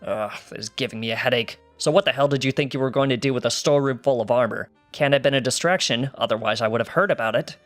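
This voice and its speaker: A man voicing a skeptical pony guard in a story reading, brisk, incredulous and exasperated. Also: mid-range voice, fairly bright tone, faint music behind, lightly acted. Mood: scornful. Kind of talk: fan fiction character dialogue.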